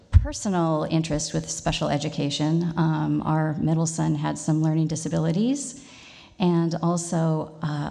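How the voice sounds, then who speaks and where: A middle-aged woman from Oregon speaks at a forum in a church hall. Her voice sits low and mellow. She talks earnestly and fills pauses with "um". A long reverb trails through the hall. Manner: earnest, many fillers. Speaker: school board member. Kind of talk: public forum remarks.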